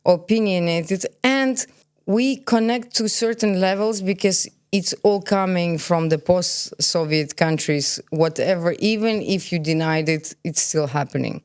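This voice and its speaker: Low-pitched, opinionated woman of forty. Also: darkish tone, smooth. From born in Kazakhstan, grew up in Belgium. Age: about 40. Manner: opinionated, emphatic, poses questions and answers them herself. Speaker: podcast guest, cosmetologist. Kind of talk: candid conversational interview.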